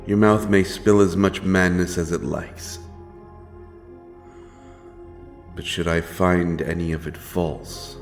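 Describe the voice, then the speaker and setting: Man acting a deep, grim monster hunter. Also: flat delivery, a little slow. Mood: stern, menacing. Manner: archaic, solemn, threatening speech. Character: grim, stern male monster hunter, menacing but honourable. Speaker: audio roleplay performer. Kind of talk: dark fantasy audio drama acting. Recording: music behind the voice.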